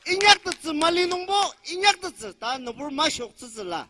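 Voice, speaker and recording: A man's voice: very high-pitched, big pitch swings, a little fast, bright, forceful tone. A man interpreting at a rally, declaiming emphatically phrase by phrase. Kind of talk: loud public speech, translating phrase by phrase.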